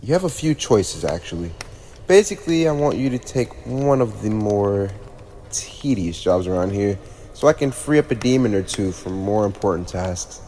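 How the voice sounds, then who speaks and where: A man's voice: fairly low pitch, expressive, dark tone, close to an everyday voice. A man voicing a haughty, teasing teenage demon lord with a playfully cruel streak, in an ASMR roleplay addressed to the listener. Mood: businesslike, smug. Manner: haughty, teasing, theatrical, with drawn-out pauses. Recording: long reverb, audible background noise.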